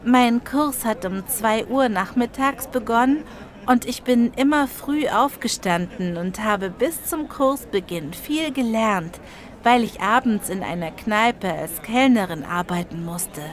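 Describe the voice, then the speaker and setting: Frau spielt langsam sprechende, direkte Sprachschülerin. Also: female voice, fairly high pitch, animated, unhurried. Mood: questioning. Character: hard-working, blunt female fellow language learner. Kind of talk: scripted language-lesson dialogue.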